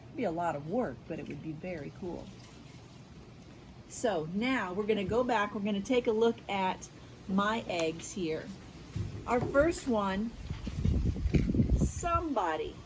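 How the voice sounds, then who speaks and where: An American woman, a school media specialist, reading aloud and teaching young children in an expressive, encouraging, question-asking way. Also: mid-range voice, noisy background. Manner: expressive, encouraging, asks questions and pauses for answers. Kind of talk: read-aloud storytelling and lesson for young children.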